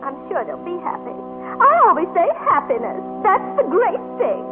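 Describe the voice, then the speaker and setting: A gushing, chattery middle-aged wedding guest in old radio acting. Her high voice runs on eagerly. The sound is a tinny broadcast with music behind it. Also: wildly swooping pitch, normal speed, heavily acted.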